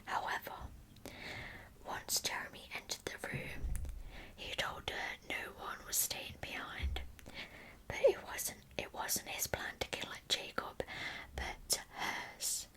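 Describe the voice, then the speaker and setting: Slow whispering woman, ASMR narration. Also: a bit bright. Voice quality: breathy, unvoiced whisper. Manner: slow, deliberate storytelling. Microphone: close microphone.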